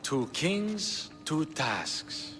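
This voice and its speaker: A man acting a shrewd, coolly authoritative Spartan king in a video game, speaking formally and deliberately. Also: fairly high pitch, very animated, heavily acted. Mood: measured, commanding. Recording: reverberant stone hall, music underneath.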